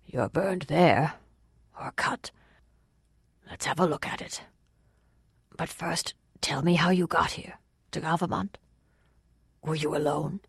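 A storybook narrator voicing a calm, kindly older lord, soft and peaceable, asking gentle questions without urgency. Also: mid-range voice, slow, vocal fry, long pauses, slightly rough, no room sound, studio quality, heavily acted. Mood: quiet concern. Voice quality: soft, hushed.

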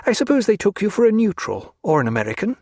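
Guy doing a giddy teasing lady, high and bouncy, dry close sound. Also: huge pitch swings, fast, darkish tone, dry recording, full character voice. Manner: gushing, teasing, high-spirited. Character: lively teasing young English lady. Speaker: audiobook narrator.

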